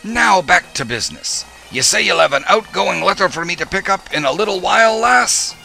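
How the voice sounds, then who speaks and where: A man voicing a kindly older mail carrier with a Scottish accent and a gravelly, avuncular way of talking in a children's show. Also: high-pitched, lively intonation, normal speed, pushed and bright, soft background music, heavily acted, rough recording. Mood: brisk, friendly. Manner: folksy, avuncular.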